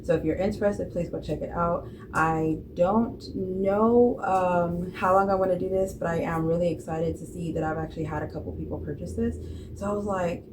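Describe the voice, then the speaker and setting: A woman's voice: lowish voice, steady tone, moderate pace, background noise. A woman in her late thirties chatting casually to camera about her budget, rambling with many fillers and restarts. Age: 30s. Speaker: budgeting vlogger.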